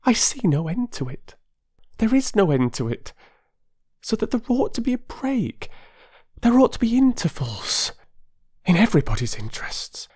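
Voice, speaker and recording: A reader voicing an impetuous, excitable middle-aged woman who pleads in an eager rush. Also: mid-range voice, normal speed, breathy edge, voice pushed up. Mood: eager pleading. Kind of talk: audiobook character voice.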